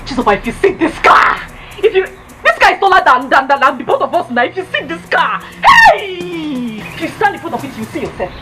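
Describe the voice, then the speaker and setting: Very high, excitable Nigerian village gossip. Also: female voice, a little fast, music in the background. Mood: awed, urging. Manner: exclaiming, breathless repetition. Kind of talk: film comedy-drama acting. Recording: very noisy background.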